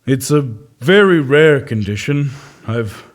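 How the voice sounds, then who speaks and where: Male actor as physician for audio comedy: grave, measured and slow, deadpan, with swooping intonation. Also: mid-range voice. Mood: solemn, grave. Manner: solemn, deliberate, deadpan. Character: grave male doctor delivering absurd bad news. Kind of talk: comedy audio sketch acting.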